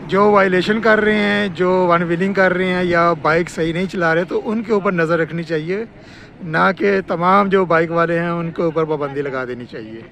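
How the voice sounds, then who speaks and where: A man's voice: high voice, moderate pace, creaky. A man with a Pakistani accent giving a matter-of-fact, explanatory on-site road update as a vlogger outdoors. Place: outdoors on a roadside by construction works. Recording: loud outdoor traffic and vehicle noise. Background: traffic, vehicles, outdoor ambience.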